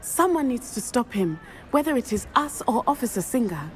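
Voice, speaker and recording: A woman voicing an earnest young female student who calmly tells how her father died, in a video game cutscene. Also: fairly high pitch, normal speed, slight background noise. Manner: earnest, composed storytelling.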